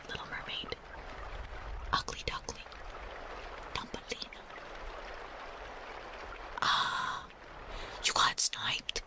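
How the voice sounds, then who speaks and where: A woman in her thirties hosting a whispered ASMR livestream, chatting playfully with her viewers and greeting them by name. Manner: playful, chatty, reading out chat names. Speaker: livestream host.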